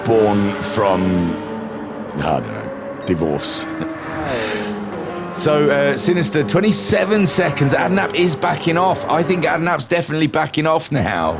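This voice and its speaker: A man's voice: fairly high pitch, sing-song, unhurried, gravelly. A middle-aged man from England with a mixed northern and southern English accent, chatting loosely while commentating a live racing stream and reading chat aloud. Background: game music and engine sounds.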